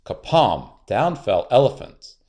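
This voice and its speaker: A man's voice: medium pitch, big pitch swings, takes it slowly, a little mellow. A man narrating a children's storybook aloud, expressively and with dramatic emphasis.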